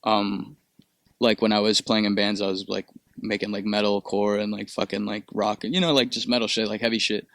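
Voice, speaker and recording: A young man with a low-pitched voice, recorded close and dry. He talks in a relaxed, rambling way, full of fillers and casual swearing. He grew up in Peru. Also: brisk, no room sound. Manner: laid-back, rambling with many fillers and profanity. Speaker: musician guest. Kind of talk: casual interview.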